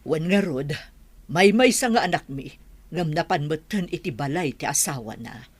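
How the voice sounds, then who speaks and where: Actress as wistful middle-aged madam, rough-edged voice, lively intonation, radio drama. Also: female voice, low-pitched, moderate pace. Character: wistful mother and madam missing her married child. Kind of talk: Ilocano comedy-drama radio acting.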